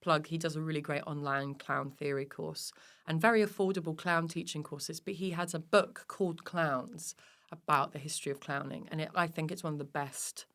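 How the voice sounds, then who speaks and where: Animated British woman chatting. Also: sits low, normal speed. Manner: sweary. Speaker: podcast guest, comedian; clown performer and teacher. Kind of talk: casual interview chat.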